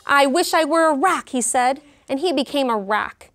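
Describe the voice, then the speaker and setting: A woman in her thirties, a teacher in a classroom, reading a story aloud with clear, expressive delivery and pausing to explain words. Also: sits high. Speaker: kindergarten teacher. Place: elementary classroom.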